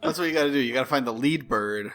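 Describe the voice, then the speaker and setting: A man's voice: mid-range voice, rapid-fire, a bit bright. A man co-hosting a comedy podcast, dropping dry one-liners. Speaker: podcast co-host. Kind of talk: casual comedic banter.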